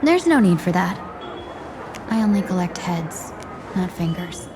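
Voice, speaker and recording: A woman playing a cool, delicate-looking merchant who poses as a deadly assassin in a video game, speaking in a composed, measured way with veiled threats. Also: on the low side, very animated, noisy background. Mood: calm threat. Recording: loud background music.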